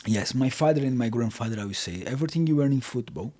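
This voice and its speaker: A man's voice: medium pitch, animated, quick pace, dark tone. A man in his thirties from Brazil with a Brazilian accent, a podcast guest talking casually in English with frequent restarts. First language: Portuguese. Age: 30s. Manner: non-native English with repeated restarts. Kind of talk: casual interview talk.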